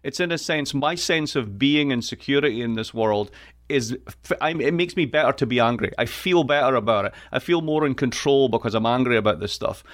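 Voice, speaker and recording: A man with an east coast Scottish accent, a guest on an interview show, talking in a wry, joking way with quick asides. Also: medium pitch. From Scotland. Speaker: guest, economist. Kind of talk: lively podcast interview.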